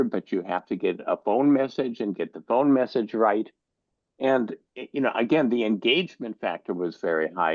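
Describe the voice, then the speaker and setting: An older man co-hosting a webinar, reminiscing in a relaxed, measured way. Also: fairly low pitch, no echo. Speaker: co-host. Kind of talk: casual webinar chat. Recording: dry, dull lo-fi call audio.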